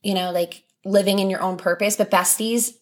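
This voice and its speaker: A woman's voice: mid-range voice, fairly even intonation, moderate pace. A young woman co-hosting a podcast in casual chat, speaking in an encouraging, heartfelt way to her listeners. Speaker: podcast co-host.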